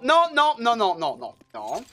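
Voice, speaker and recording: A young man bantering crudely and excitedly on a gaming stream, loud and often shrieking, into a close desk microphone. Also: high-pitched, a little slow. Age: young adult. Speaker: video game streamer. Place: indoor streaming setup at home.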